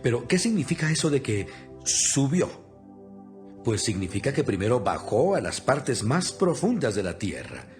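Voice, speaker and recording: A man narrates an audio Bible with a wide, lively swing in intonation. His delivery is earnest and instructive, in the manner of dramatized scripture reading. Music plays clearly behind his mid-pitched voice. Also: moderate pace. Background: background music.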